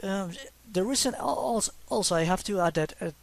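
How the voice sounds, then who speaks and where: A man's voice: high-pitched, brisk, vocal fry. Hesitant young man with a Mexican accent. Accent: Mexican Spanish. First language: Spanish. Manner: hesitant, many fillers and restarts; self-conscious, laughs a little. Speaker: hobby artist. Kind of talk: casual talk over his drawings.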